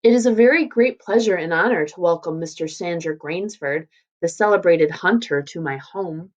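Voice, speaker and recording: A cultivated general past middle age in an adventure tale, read aloud by a woman, greeting a guest with deliberate courtesy. The sound is a little dull. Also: lowish voice, close to an everyday voice. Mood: courteous. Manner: polite, deliberate. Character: cultivated older male general, courteous, precise host.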